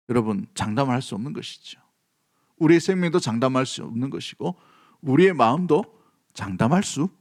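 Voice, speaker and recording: A man's voice: mid-range voice, a little mellow. A man from South Korea preaching a sermon as a pastor, emphatic, repeating key phrases and putting questions to his congregation.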